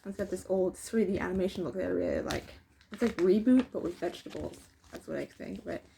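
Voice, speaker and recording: A woman's voice: on the low side, fast, vocal fry, dark tone, a little hiss or hum, rough recording. A woman reading a rhyming children's story aloud, with casual asides as she goes. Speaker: storybook reader.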